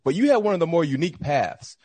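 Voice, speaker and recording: A man's voice: a little high, very animated, fast talker, a little mellow, no echo. A middle-aged American man, a former athlete, casually hosting a sports interview. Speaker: show host, former football player.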